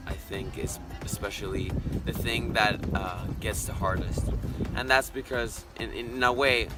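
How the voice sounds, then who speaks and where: A man's voice: on the low side, rough recording. An earnest, reflective eighteen-year-old man from Costa Rica, sharing his story and thinking aloud as he gives a word of encouragement. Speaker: interviewee. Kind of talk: personal testimony, word of encouragement. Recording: loud background music. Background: loud music.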